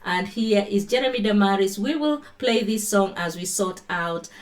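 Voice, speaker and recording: Kenyan woman, livestream host on her phone, chatty and unhurried, mid-pitched voice. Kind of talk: casual livestream talk with Bible teaching.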